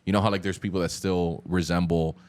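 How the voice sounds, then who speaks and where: Miami-accented man, 30s, very low voice, loose slangy host. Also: flat delivery, a little fast, darkish tone. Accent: Miami Cuban-influenced English. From Miami, USA. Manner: loose, slangy, lots of fillers. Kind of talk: casual podcast chat.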